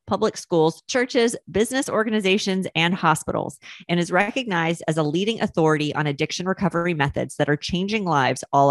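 Podcast host lady, polished measured read, dry studio sound. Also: on the low side, no room sound. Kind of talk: scripted intro read.

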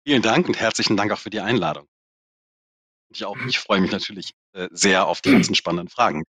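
Ein Mann, der als Experte in einer Online-Runde locker spricht und Sätze oft neu ansetzt. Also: male voice, on the low side, lively intonation, quick pace, bright, forceful tone, slightly rough, no echo. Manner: casual, restarts sentences. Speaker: panel expert. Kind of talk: panel discussion answer.

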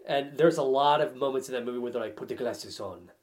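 A man's voice: mid-range voice, fast talker, a little mellow. A man chatting casually as a podcast panelist about films, joking and slipping into mock-dramatic quotes. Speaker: podcast panelist discussing film trailers. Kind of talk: casual chat.

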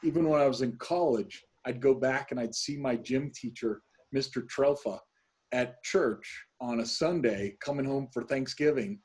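A middle-aged man hosting a conversational business interview, with a husky, slightly dark voice. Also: medium pitch, normal speed. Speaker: podcast host, interviewer.

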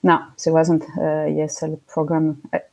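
Guest: middle-aged woman from Switzerland, low-ish voice, thoughtful and halting. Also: monotone, creaky, mellow, rough recording. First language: French. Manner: thoughtful, frequent restarts and fillers. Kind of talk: casual interview conversation.

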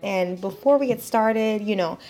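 A woman's voice: medium pitch, measured pace, dark tone, faint music behind. A woman hosting a podcast interview, asking friendly, slightly rambling questions. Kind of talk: warm conversational interview.

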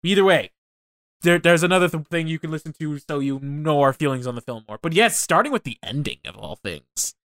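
A man's voice: fairly high pitch, very animated, fairly quick, fairly bright tone, no echo. A man co-hosting a podcast, chatting casually about movies over a voice call. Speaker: podcast co-host. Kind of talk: casual film podcast chat. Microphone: voice call recording.

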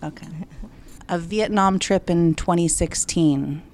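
A woman's voice: lowish voice, slow pace, darkish tone, slight background noise. A woman interviewing guests, asking friendly, encouraging questions. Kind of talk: casual interview.

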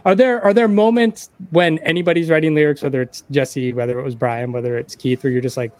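A man's voice: fairly high pitch, fairly even intonation, fast, darkish tone, dry recording. A man in a casual interview chat as the podcast host.

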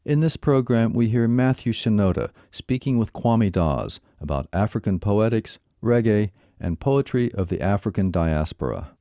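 A low, mellow man reads a scripted program introduction, letting his pitch rise and fall freely. His delivery is smooth and measured, and the recording is dry and close. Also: expressive, no room sound. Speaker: program announcer. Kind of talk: scripted narration.

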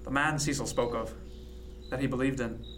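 A man playing a reserved first mate in a radio drama, quietly tender, with an understated, formal delivery. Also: medium pitch, steady tone, normal speed, a little hiss or hum, lightly acted. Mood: uncertain.

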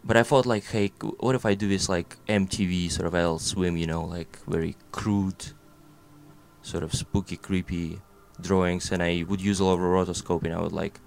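A man's voice: sits low, fairly even intonation, normal speed, gravelly, a little hiss or hum. A young man with a Russian accent, chatting casually and rambling through his thoughts with many fillers and self-deprecating jokes. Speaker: animator guest. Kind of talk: casual conversation.

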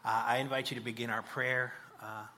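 A man's voice: medium pitch, level tone, fairly quick, sharp tone. A man leading a congregation in spoken prayer, earnest and reverent, delivering it phrase by phrase. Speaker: church prayer leader. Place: church service. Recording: live church recording with background noise.

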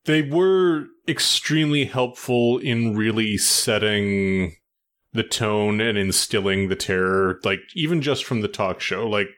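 A man in a casual review chat gives his opinions in a thoughtful, measured way. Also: on the low side, a bit bright. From United States.

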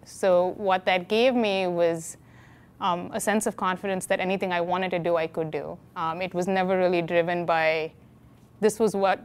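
Reflective woman guest from India. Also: fairly low pitch. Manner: measured, reflective, with fillers and restarts. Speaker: interview guest, city chief technology officer. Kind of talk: casual interview answers.

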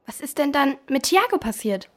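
A woman's voice: sits high, very animated, moderate pace, fairly bright tone. A woman playing a sympathetic, slightly hesitant young girl who talks casually in a children's audio drama. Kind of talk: German children's radio play acting.

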